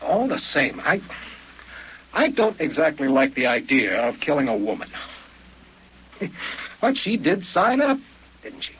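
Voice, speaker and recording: A man's voice: a little high, sing-song, a little slow, pauses often, a bit bright. An actor playing a polite, brooding, experienced killer in an old-time radio drama, hesitant and musing as he talks. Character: seasoned licensed killer, polite, hesitant, quietly brooding man. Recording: muffled, noisy old radio recording.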